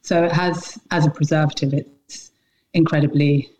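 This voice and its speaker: A woman's voice: low-pitched, steady tone, normal speed, dark tone. A woman who grew up in Britain, explaining things conversationally as an interview guest, on muffled, noisy-sounding audio. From Britain, mostly Scotland. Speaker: podcast guest, salt producer.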